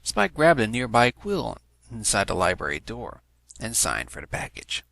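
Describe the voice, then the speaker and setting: A man's voice: fairly low pitch, wildly swooping pitch, moderate pace, vocal fry, fairly bright tone, dry recording. A man giving a scripted narration of a story as an amateur reader. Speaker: amateur fan fiction reader.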